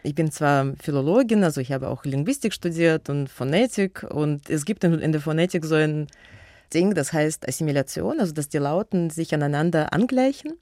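A woman's voice: sits low, lively intonation, fairly quick, dark tone, no echo, clean studio sound. A woman with a Russian accent, a native Russian speaker, answering radio interview questions about her museum work in a hesitant way, with many fillers and restarts. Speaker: museum curator and guest.

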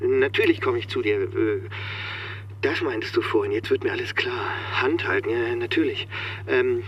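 A man's voice: medium pitch, normal speed, muffled recording, a little hiss or hum, close to an everyday voice. An actor playing a stressed, self-deluding career man in a radio drama, stammering, defensive and pleading. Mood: anxious. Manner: stammering, flustered. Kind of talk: naturalistic radio drama acting.

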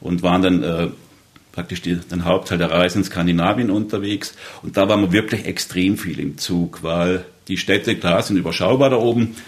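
A man in his fifties from southern Germany speaks in a low voice. He tells his memories conversationally, as someone being interviewed. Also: fairly even intonation, normal speed. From southern Baden, Germany. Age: 50s. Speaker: interviewee recalling rail travels. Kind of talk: conversational storytelling.

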